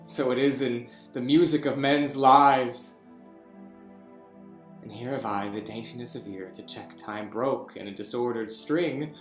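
A man's voice: medium pitch, reverberant, soft background music, lightly acted. A man playing a brooding jailed king. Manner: slow, swings from hushed whispers to outbursts. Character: deposed imprisoned king, brooding and bitter. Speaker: actor in a staged reading. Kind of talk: Shakespeare reading over video call. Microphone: video call webcam.

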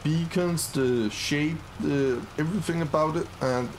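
Upbeat, slow-talking gamer man. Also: fairly high pitch, slight background noise, poor audio. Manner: upbeat, easily excited, many fillers. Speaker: gaming channel host. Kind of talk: casual let's-play commentary.

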